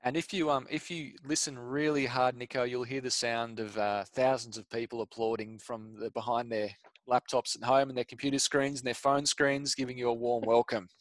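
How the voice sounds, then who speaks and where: A man's voice: mid-range voice, level tone, normal speed, dry recording. A man in his thirties with an Australian accent, hosting an interview in an upbeat, jokey way over a headset on a video call. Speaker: podcast host. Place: home room.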